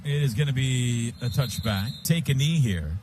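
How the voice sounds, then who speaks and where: An American man working as a radio play-by-play announcer, calling live football in clipped phrases and getting excitable on big plays. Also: medium pitch, moderate pace, loud music behind the voice. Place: stadium broadcast booth. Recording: clearly noisy live stadium radio broadcast.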